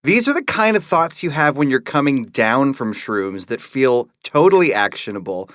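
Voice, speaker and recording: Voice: mid-range voice, very animated, moderate pace, a bit bright, dry recording, studio quality. A podcast co-host in casual banter, talking in a wry, irreverent and sweary way.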